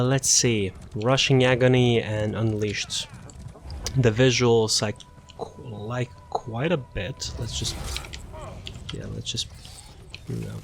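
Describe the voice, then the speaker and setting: A man casually walking through a game character build, halting often with fillers and restarts. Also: medium pitch, background noise. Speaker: gaming channel host.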